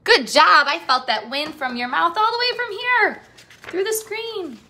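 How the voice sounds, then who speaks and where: Bright-voiced woman reading aloud to children. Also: very high voice, measured pace, a little hiss or hum. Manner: encouraging, addresses young viewers directly with questions. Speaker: children's read-aloud host and teacher. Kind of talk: picture-book read-aloud and talk to children.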